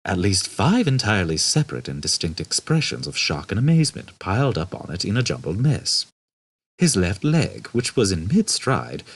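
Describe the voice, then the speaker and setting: A man reading scripted comic science fiction as an expressive audiobook narrator. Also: lowish voice, breathy edge. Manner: expressive storytelling.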